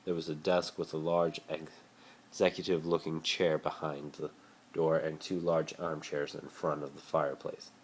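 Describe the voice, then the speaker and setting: A man with a very deep voice reads a story aloud as an amateur. He trips over words and corrects himself as he goes. The phone recording has a faint layer of noise. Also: level tone, normal speed. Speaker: amateur reader of fan fiction. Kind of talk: dramatic story reading.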